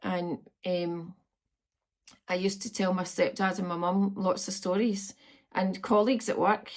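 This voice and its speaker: A woman's voice: fairly low pitch, flat delivery, measured pace. A Scottish woman in her fifties chatting to camera from home, meandering with frequent ums. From Scotland. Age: 50s. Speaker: vlogger. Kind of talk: casual chat to camera. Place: at home on a farm, indoors.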